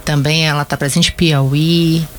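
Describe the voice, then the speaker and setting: Measured female guest from Bahia. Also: deep voice, little pitch movement, slight background noise. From Brazil, Bahia. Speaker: studio guest, energy company professional. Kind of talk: interview answers.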